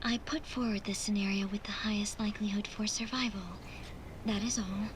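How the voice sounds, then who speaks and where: A woman's voice: medium pitch, fairly even intonation, brisk, noisy background, natural voice. An actress playing an aloof, logical young female artificial intelligence in a video game, speaking in a formal, clipped, detached way. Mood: solemn, matter-of-fact. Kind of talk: video game cutscene voice acting.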